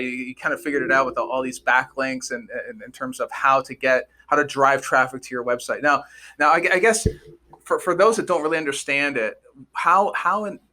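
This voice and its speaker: A man's voice: medium pitch, bright, forceful tone. A man hosting a podcast, upbeat and chatty, often stumbling and repeating words as he asks questions. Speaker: podcast host.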